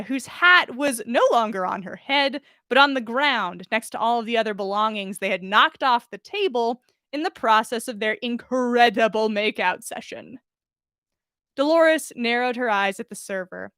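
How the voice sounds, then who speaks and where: Bright female voice, fairly high, dry close sound, expressive casual fan fiction host. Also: normal speed, dry recording. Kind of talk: expressive story reading and casual livestream chat.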